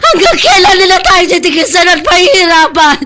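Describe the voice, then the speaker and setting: A woman's voice: extremely high pitch, big pitch swings, brisk. An actress playing an anguished woman in forbidden love, crying out in a radio melodrama. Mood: anguished, defiant. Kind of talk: Filipino radio melodrama acting. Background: music.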